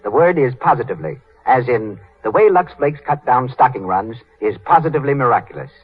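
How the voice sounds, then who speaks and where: A man's voice: mid-range voice, animated, fairly quick, husky. A man with an American accent announcing in 1930s radio style. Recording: tinny, band-limited 1930s radio broadcast.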